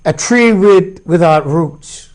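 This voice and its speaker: A man's voice: high-pitched, lively intonation, takes it slowly, darkish tone. A man in his sixties from Jamaica, a guest speaking reflectively and hesitantly about his life. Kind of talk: casual interview conversation.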